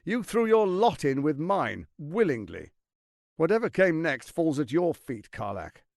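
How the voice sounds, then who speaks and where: Pompous scheming tyrant with wildly swooping delivery. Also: male voice, a little high, big pitch swings, measured pace, vocal fry, darkish tone, dry recording, studio quality, heavily acted. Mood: cold, reproachful. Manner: pompous, theatrical, articulate, condescending. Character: scheming, silver-tongued tyrant archduke, grandiose and menacing. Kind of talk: theatrical video game voice acting.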